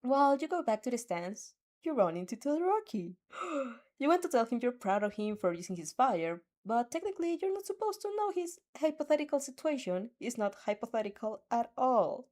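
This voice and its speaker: A woman's voice: on the high side, very animated, fairly quick, a little mellow. A young woman reading a fanfic aloud, often breaking off to laugh and react, stumbling and restarting as she goes.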